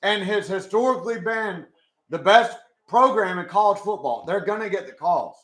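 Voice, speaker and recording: A young man with a hoarse voice, chatting casually with his live chat as he watches a football game. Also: high voice, lively intonation, moderate pace, fairly bright tone, echoey room. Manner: chatty, swears, talks to chat. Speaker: live stream host.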